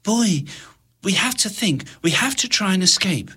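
A man's voice: high-pitched, expressive, moderate pace, sharp tone, husky, voice pushed up, full character voice. A man voicing a frightened but determined young girl who pleads in an urgent whisper. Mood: pleading.

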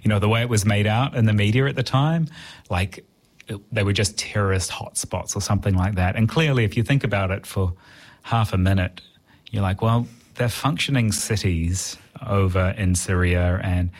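Low-voiced New Zealand man reflecting in interview. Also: brisk. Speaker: interviewed writer.

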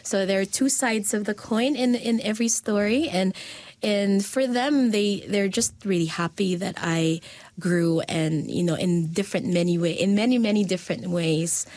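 A woman's voice: mid-range voice, normal speed, darkish tone, no room sound. A woman from the Philippines, a designer and lecturer answering interview questions in an earnest, searching way full of fillers and repeats.

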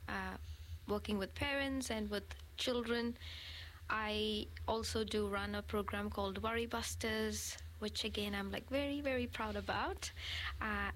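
A woman's voice: on the high side, fairly bright tone, slight background noise, poor audio. Hesitant woman social worker. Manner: hesitant, many "uh" fillers. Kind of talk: casual interview talk.

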